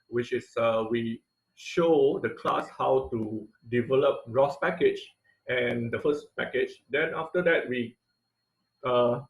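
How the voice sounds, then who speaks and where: A man's voice: mid-range voice, echoey room, low-quality recording. A man teaching an online robotics class over slides, with many hesitant fillers in his speech.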